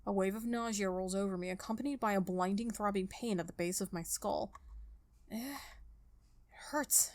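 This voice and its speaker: A woman hosting a game playthrough, reading a visual novel aloud and thinking out loud in an informal, expressive way. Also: medium pitch, normal speed. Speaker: gaming video host. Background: music.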